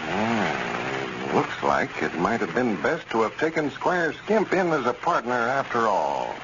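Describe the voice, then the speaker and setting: A male announcer reads a sponsor message in polished, formal 1930s radio style. His pitch swings very widely. The old recording is muffled, with clear background noise.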